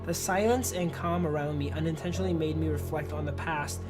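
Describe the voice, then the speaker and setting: A man's voice: on the high side, steady tone, brisk, over music. A man livestreaming a game, reading its story text aloud and chatting in slang, stumbling over long words. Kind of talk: narrating game text, casual chat with viewers.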